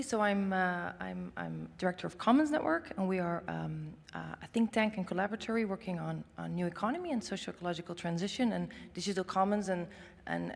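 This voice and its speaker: Gravelly-voiced woman on a panel. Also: lowish voice. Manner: hesitant, many fillers and restarts. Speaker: panelist, think tank director. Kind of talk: conference panel statement. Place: large conference hall. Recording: long hall reverb.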